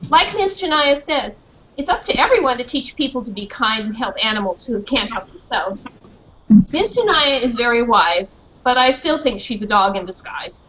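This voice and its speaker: A woman's voice: high-pitched, sharp tone. A woman playing a proud, earnest pit bull dog who narrates his own story in a school play. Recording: muffled, noisy school performance recording.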